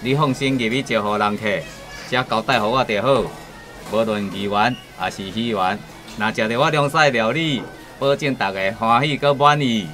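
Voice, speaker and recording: An actor with a Taiwanese accent playing a confident, boastful veteran village chef in a radio drama. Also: male voice, mid-range voice, normal speed, pushed and bright, soft background music, lightly acted. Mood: confident, reassuring.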